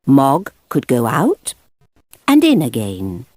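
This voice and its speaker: A woman narrating a children's picture book aloud in an expressive storytelling manner. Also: a bass voice, slow pace, no room sound.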